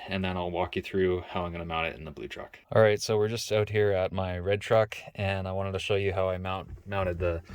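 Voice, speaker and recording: Very deep-voiced man, casual how-to talk. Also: clear, resonant tone. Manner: informal, thinking aloud. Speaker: vlog host showing a DIY truck install.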